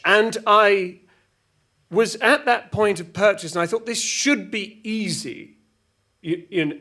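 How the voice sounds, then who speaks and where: A man playing a flustered, exasperated storyteller, halting and repeating himself through a comic monologue full of petty grievances. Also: a little high, creaky, pushed and bright, heavily acted. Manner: halting, repetitive, stumbling over words. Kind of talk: rambling semi-improvised comic monologue with acted argument quotes.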